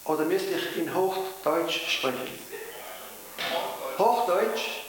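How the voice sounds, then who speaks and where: A man with a Swiss German accent preaching a sermon in a church, speaking measured and stressing key phrases. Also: sits high, lively intonation, crowd noise. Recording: very echoey church hall.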